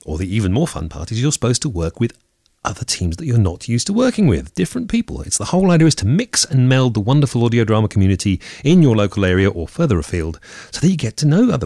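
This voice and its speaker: A man's voice: fairly low pitch, gravelly, a little mellow, dry recording, clean studio sound. A man hosting a podcast, chatting enthusiastically about recording an audio drama. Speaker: podcast host. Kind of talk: casual podcast chat.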